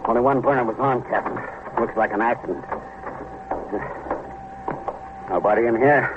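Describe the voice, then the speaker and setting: Man acting brisk city cop, very noisy radio. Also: mid-range voice, close to an everyday voice. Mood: matter-of-fact. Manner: clipped, deferential to superior. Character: dutiful, brisk city policemen answering their captain. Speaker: police officer. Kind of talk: 1950s radio crime drama acting. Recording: very noisy, tinny old radio recording.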